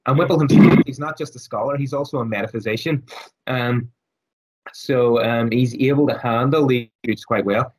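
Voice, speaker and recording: Raspy, hoarse man explaining thoughtfully. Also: medium pitch, moderate pace, poor audio. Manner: thoughtful, many fillers and restarts. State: hoarse, losing his voice. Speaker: guest scholar on Aquinas. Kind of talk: casual interview talk.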